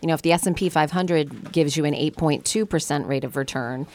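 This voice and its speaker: A woman co-host on a radio talk show with a very low voice. She chats easily and repeats words as she works toward her question. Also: fairly quick, darkish tone. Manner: conversational, repeats words while thinking.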